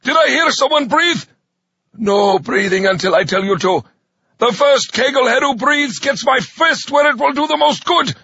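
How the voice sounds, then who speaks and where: A bullying army sergeant screams orders in a high, strained voice, put on by a male narrator. Each command is barked out hard and fast, with a husky edge. The recording is dry and a little dull. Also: animated, sharp tone, no room sound, heavily acted. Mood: shouting orders. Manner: screeching, barking orders.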